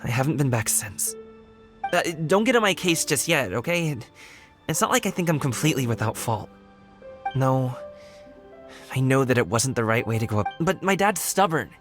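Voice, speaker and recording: A man's voice: medium pitch, very animated, normal speed, pauses often, a bit bright, soft background music. A man playing a friendly young wushu dancer in an anime game, speaking in a breezy, sincere way. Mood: wistful, candid.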